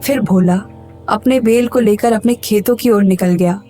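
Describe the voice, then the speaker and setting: A woman's voice: mid-range voice, normal speed. A woman reading scripted narration for a cartoon moral story as the storyteller. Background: clear background music.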